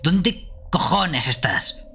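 A man's voice: a little high, very animated, drawn-out words, sharp tone, husky, faint music behind, voice pushed up, full character voice. A man voicing an irritable, foul-mouthed young male killer in an amateur game dub, aggressive and impatient. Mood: angry, exasperated. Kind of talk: amateur video game dubbing.

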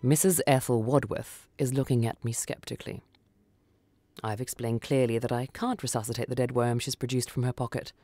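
A woman's voice: a bass voice, steady tone, a little fast, mellow, soft background music. A woman reading a first-person short story for radio as scripted narration. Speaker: story reader.

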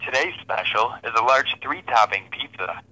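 A man reads a scripted phone greeting in an extremely bright, sharp voice. His pitch is average, and it plays over a phone speaker. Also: lively intonation, moderate pace, rough recording. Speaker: pizza chain phone announcer. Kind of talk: scripted hold message.

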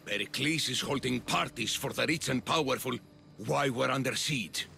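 An actor playing a scheming, grandiose populist politician of ancient Athens in video game dialogue, with a gravelly, oratorical delivery. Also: male voice, medium pitch, normal speed, bright, forceful tone, a little hiss or hum. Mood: insinuating.

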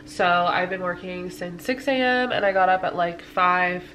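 A woman's voice: on the low side, normal speed, fairly bright tone, a little hiss or hum. Chatty stuffy-sounding young woman vlogging. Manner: chatty, filler-heavy, laughs often. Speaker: lifestyle vlogger. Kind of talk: casual chat to camera. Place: living room at home.

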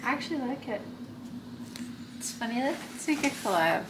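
A woman's voice: high voice, expressive, normal speed. Young woman chatting, noisy handheld recording. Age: young adult. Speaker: vlogger. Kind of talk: casual chatty vlog talk. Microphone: handheld camera or phone. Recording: noisy handheld camera, household and car noise.

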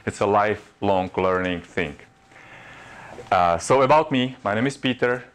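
Czech-accented man giving a chatty workshop. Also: unhurried. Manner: chatty, self-mocking, rises in pitch when animated. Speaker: workshop presenter. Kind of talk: informal workshop talk. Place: classroom in front of a projection screen. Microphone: far camera.

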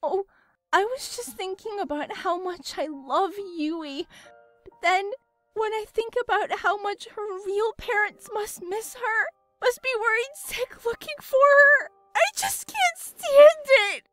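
A bossy, volatile warrior girl from an anime parody, acted by a woman. Her voice is extremely high and bright, with dramatic swings, over faint music. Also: takes it slowly, exaggerated voice. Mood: tender, theatrical. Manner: domineering, dramatic.

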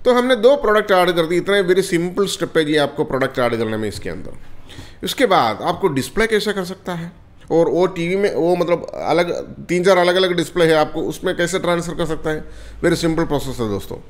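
A man talks viewers through a software setup in a relaxed, repetitive tutorial manner. His voice sits a little higher than usual. He keeps checking in with the audience as he clicks through each step. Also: a little fast. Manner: repetitive, addresses viewers as friends, many fillers. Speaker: software demonstrator. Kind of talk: screen-recorded software tutorial.

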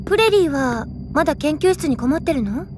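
A woman voicing a friendly, headstrong teenage heroine with casual, expressive speech in a game drama. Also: high-pitched, brisk, noisy background. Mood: lively, coaxing. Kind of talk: Japanese game audio drama acting. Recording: music behind the voice.

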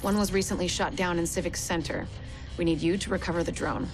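A woman's voice: fairly low pitch, fairly even intonation, fast, music in the background, lightly acted, poor audio. A woman playing a focused agent who delivers a businesslike, directive mission briefing in a video game. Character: focused female agent briefing the field team.

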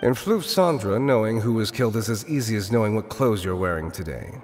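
An actor playing a shrewd, guarded bartender in a video game, dry and wary in manner. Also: male voice, lowish voice, lively intonation, a little fast, darkish tone, slight background noise, lightly acted. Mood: wary, disdainful. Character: guarded, shrewd underworld bartender, adult man. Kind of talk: video game dialogue acting.

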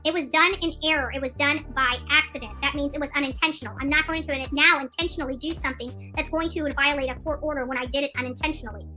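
A woman in her forties scolds and challenges as she addresses her livestream audience. Her voice is high and very bright, forceful and quick, with music playing behind her. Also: steady tone. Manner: confrontational, scolding. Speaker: livestream channel host. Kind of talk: indignant livestream rant to viewers. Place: small room indoors, seated on a couch.

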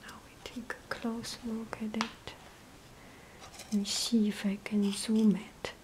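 Woman vlogger, breathy half-whisper, low energy, slow, flat delivery. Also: noisy background. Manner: hesitant, many pauses and fillers. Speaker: tea vlogger. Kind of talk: casual show-and-tell narration. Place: indoors at a table, off camera.

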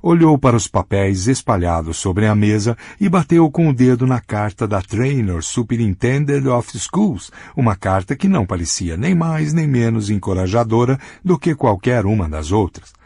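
A man with a husky voice reading a novel aloud as an audiobook narrator, giving characters small voice shifts in dialogue. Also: mid-range voice, very animated, moderate pace, hardly any pauses, dark tone, dry recording, studio quality. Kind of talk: scripted narration.

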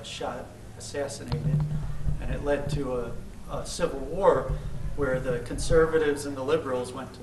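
Man, chicago accent, relaxed lecture talk, lots of fillers, hall echo, background hum. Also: medium pitch. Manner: conversational, many "you know" fillers. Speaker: guest lecturer. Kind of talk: informal lecture and Q&A. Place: large lecture room, indoors. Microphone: far camera, podium mic. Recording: roomy hall from far off.

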